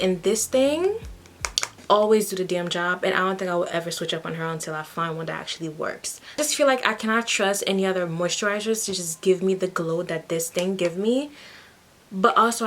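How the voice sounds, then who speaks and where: A young woman from Haiti chats her way through a beauty routine, frank and animated, tagging her points with a quick "okay?". Her voice sits at an ordinary middle pitch, and soft music plays low behind her. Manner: chatty, frank, says "okay?" often. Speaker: beauty vlogger. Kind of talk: casual get-ready-with-me chat.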